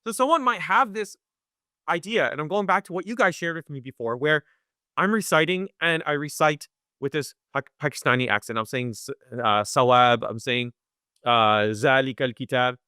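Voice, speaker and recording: A man teaching Quran recitation in a webinar, talking conversationally, often checking in with "right?" and sounding out recitation slowly. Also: on the high side, sharp tone, clear, resonant tone, no room sound, studio quality. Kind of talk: live webinar lesson.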